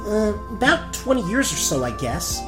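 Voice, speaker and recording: A man voicing a cowardly, blustering young prince who stammers excitably in a cartoon parody. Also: high voice, moderate pace, over loud music, pitched above the performer's own voice, low-quality recording. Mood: sheepish. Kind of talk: cartoon gag-dub parody.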